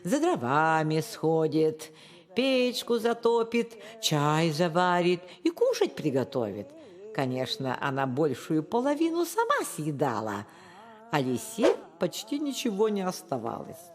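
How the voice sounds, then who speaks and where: Slow, lively woman storyteller with reverb. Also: deep voice. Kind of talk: expressive children's fairy-tale narration. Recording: long hall-like reverb.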